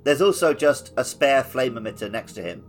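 A man's voice: medium pitch, expressive, normal speed, faint music behind. A man co-hosting a podcast, giving matter-of-fact opinions in casual gaming chat. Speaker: podcast co-host.

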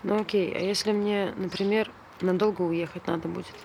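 A woman's voice: mid-range voice, fairly even intonation, brisk, darkish tone, slight background noise, close to an everyday voice, poor audio. An actress playing a blunt, needling woman who questions her friend, in naturalistic stage-play dialogue. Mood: teasing, needling. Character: blunt, teasing, wary woman friend of Tolya.

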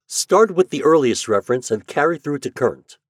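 A man's voice: fairly high pitch, expressive, fairly quick, no room sound, clean studio sound, pitched above the performer's own voice. A man voicing a curt, impatient investigator. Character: impatient male government investigator.

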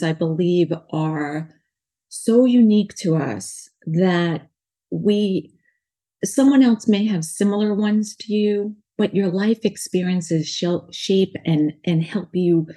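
A woman with a New York accent talks about her coaching work. Her voice sits low and a little husky, with a soft, mellow tone. She wanders through her thoughts earnestly, often restarting mid-sentence. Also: slow. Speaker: guest, life coach. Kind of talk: casual interview talk.

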